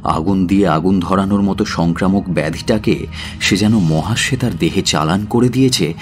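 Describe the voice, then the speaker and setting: A man's voice: low-pitched, normal speed. A man narrating a literary audio story in a dramatic, scripted style.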